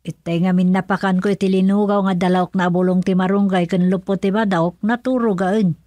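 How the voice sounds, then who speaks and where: A woman's voice: mid-range voice, steady tone, brisk, dark tone, no echo, close to an everyday voice. A woman playing a caring older mother and grandmother in an Ilocano radio drama, with a Filipino Ilocano accent. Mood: concerned.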